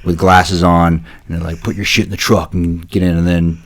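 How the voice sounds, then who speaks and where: A man's voice: low voice, flat delivery, normal speed. A man co-hosting a podcast, talking casually in a dry, matter-of-fact way. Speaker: podcast co-host.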